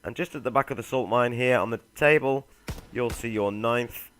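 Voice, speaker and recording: A man narrates a gaming walkthrough in a middle-pitched voice. He is informal and laid-back, addressing his viewers directly as he gives directions. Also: moderate pace, fairly bright tone, a little hiss or hum. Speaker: gaming video host. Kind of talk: casual game walkthrough voice-over.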